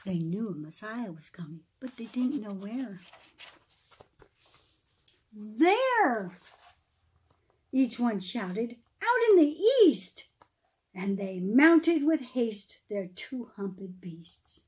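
A middle-aged woman reads a rhyming children's story aloud in an expressive, dramatic manner, pausing for emphasis. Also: a little high. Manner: dramatic, emphatic phrasing with pauses, explaining asides to children. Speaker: pastor reading a children's story.

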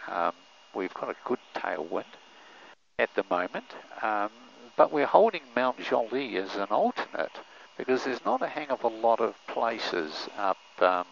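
Older New man, pilot talking through headset in flight, gravelly low voice, muffled intercom over engine drone. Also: slight background noise. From New Zealand. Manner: relaxed, explanatory, many fillers. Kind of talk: casual cockpit commentary. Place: small aircraft cockpit in flight. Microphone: aviation headset boom mic.

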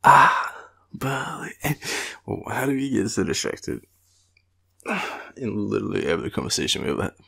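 A tired young Australian man rambling in an intimate boyfriend roleplay, with a husky, gravelly voice and a playful, teasing, halting manner. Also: lowish voice, very animated, pushed and bright. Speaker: boyfriend roleplay audio performer.

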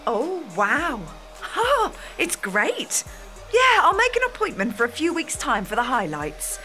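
High, fluttery, anxious British woman, played by an actress. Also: huge pitch swings, unhurried, bright, forceful tone, faint music behind, exaggerated voice. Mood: flustered delight. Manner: hesitant, flustered. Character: anxious, apologetic wife and salon customer. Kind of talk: audio play acting.

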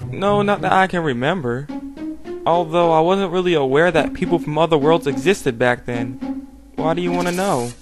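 A man's voice: a little high, normal speed, lightly acted. A man voicing an easygoing, clueless, mild-mannered teenage schoolboy in an anime fan dub. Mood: puzzled. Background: background music.

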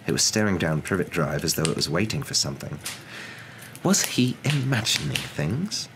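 Very deep young male storyteller. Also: background noise. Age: young adult. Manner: soft-spoken, dramatic. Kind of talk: ASMR story narration.

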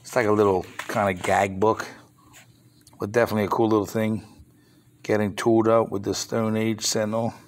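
A man with a somewhat low voice talks casually over his phone camera while he shows off toys on a table. He rambles, grumbles a little now and then, and keeps an easy show-and-tell tone. A faint hiss sits under the recording. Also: little pitch movement, moderate pace. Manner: chatty, rambling, mild grumbling asides. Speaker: toy reseller showing flea market finds. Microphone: handheld phone camera.

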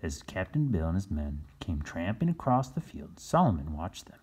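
A man reading a children's storybook aloud in an animated narrating style. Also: low-pitched, normal speed, breathy edge. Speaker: storybook reader.

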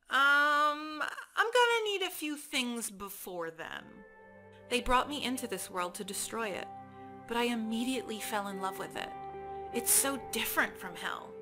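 A woman acts out a young she-demon in a comic-book cartoon. Her voice sits fairly high over prominent music. She is excitable and expressive, with a casual, foul-mouthed way of talking. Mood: flustered.

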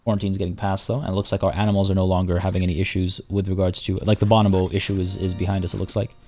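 A hoarse young man casually commenting as he plays a zoo-building game, chatty and rambling. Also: deep voice, flat delivery, rapid-fire, dark tone, faint music behind. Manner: rambling, chatty, many restarts and fillers. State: hoarse, losing his voice. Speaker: let's play host.

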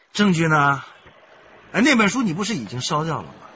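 An actor playing a desperate, scheming husband in a radio crime drama, emotional in delivery. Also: male voice, fairly high pitch, very animated, measured pace, sharp tone, muffled recording, a little hiss or hum, full character voice. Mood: evasive, scornful. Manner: emotional, pleading, swinging to outbursts. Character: desperate, scheming adult husband confessing to murder.

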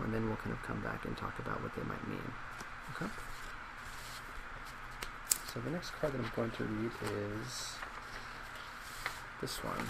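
A man in his twenties speaking in a soft ASMR role play as a psychologist, hesitant, measured and reassuring, recorded in a small office. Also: medium pitch, vocal fry. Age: 20s. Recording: loud laptop fan noise.

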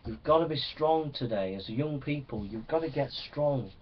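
A male preacher teaches from the Bible in an earnest, exhorting way. His voice sits at an average pitch for a man. It is picked up some distance from the microphone, and the sound is a little dull. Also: expressive, moderate pace, slight background noise. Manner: earnest, exhorting, often seeking assent. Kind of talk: Bible teaching sermon to young people.

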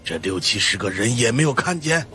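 Stern older monk consultant for supernatural audiobook, played by a man; mid-pitched, grave, explaining with authority. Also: sing-song, fairly quick, sharp tone, soft background music. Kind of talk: audiobook character acting.

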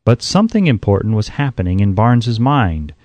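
Animated male audiobook narrator, very dry sound. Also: fairly low pitch, a little fast, no room sound.

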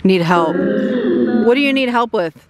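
A woman's voice: fairly high pitch, expressive, moderate pace. A woman hosting a ghost hunting vlog, talking casually outdoors in the open. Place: outdoors in an open rural cemetery.

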